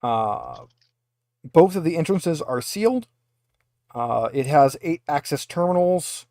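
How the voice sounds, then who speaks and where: A man with a slightly high voice acts as game master for a tabletop roleplaying game. His delivery is halting, as if thinking aloud, and the sound is dry, with very little room to it. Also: animated, a little mellow, no echo.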